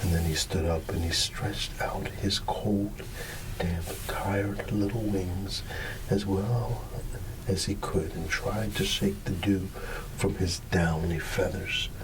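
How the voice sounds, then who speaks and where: A man reading a bedtime story in ASMR style, hushed and drawn out, sometimes dropping into a whisper. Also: deep voice. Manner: hushed, unhurried, drawn-out words with playful asides. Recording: steady background ambience noise. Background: ambient background sound.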